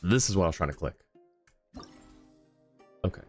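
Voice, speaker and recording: A man hosting a casual game livestream. His voice is quite deep, and he speaks conversationally, reading viewer comments aloud and replying. Music plays faintly behind him. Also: lively intonation, normal speed, darkish tone.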